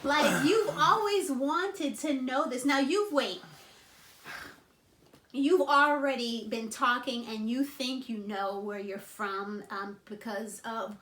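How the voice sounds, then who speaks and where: A woman's voice: high voice, slow pace, fairly bright tone. A woman in her thirties chatting earnestly in a home vlog, with frequent ums and restarts. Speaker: vlogger and wife. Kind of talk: casual home vlog chat. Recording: echoey room.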